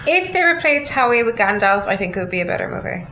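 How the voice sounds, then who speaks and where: A woman's voice: medium pitch, very animated, fast talker, pushed and bright, slight background noise. A woman co-hosting a podcast in casual chat, a laid-back listener who mostly gives short agreeing replies. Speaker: podcast co-host.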